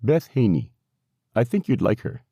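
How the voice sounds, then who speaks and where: A man's voice: medium pitch, sing-song, moderate pace, vocal fry, warm tone, breathy edge, no room sound, studio quality, voice pushed up, full character voice. A man playing an upbeat, friendly man on a phone call in an audiobook. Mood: cheerful.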